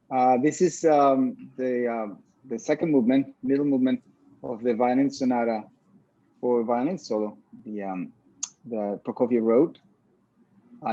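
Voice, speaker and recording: Mellow, halting man in a roomy recording. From Venezuela. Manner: halting, pausing often mid-sentence. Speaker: orchestra violinist, interview guest. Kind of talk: casual interview talk. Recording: dull, roomy low-fidelity sound.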